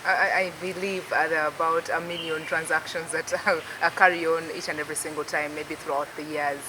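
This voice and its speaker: A woman's voice: low-pitched, brisk. A woman hosting a TV business interview show, chatty and upbeat, with many fillers. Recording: noisy recording with steady hiss.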